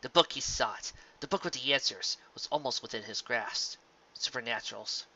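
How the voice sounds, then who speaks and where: Amateur audiobook narrator, mid-pitched and forceful, measured dramatic delivery, dull lo-fi sound. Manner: measured, occasionally stumbling over words. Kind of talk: scripted fan fiction narration, dramatic reading.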